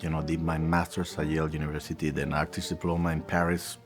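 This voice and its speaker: A man's voice: extremely low pitch, flat delivery, quick pace. A man from Cuba, answering interview questions earnestly as a music director. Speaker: music director and guitar professor.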